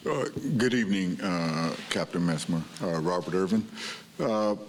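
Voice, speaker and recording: A man on a review board asking polite, halting questions with many filler sounds. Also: deep voice, a little hiss or hum. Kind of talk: questioning at a public meeting.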